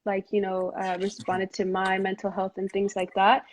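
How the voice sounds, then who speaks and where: A woman's voice: medium pitch, monotone, moderate pace, a little hiss or hum. Earnest woman hosting a casual live chat. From Montreal, Canada. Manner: earnest, many fillers and restarts. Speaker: host of a live video chat. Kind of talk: casual heartfelt conversation. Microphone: live stream device mic.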